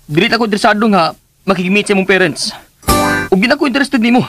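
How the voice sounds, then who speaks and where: An actor playing a cold, dismissive young boyfriend in a radio drama, with a Filipino accent. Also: male voice, high voice, lively intonation, rapid-fire, fairly bright tone, exaggerated voice. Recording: music under the voice.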